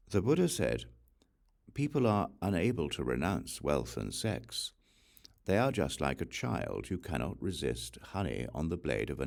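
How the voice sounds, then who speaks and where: Very deep, slow, gravelly male narrator. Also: darkish tone. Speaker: audiobook reader. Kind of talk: scripted scripture narration.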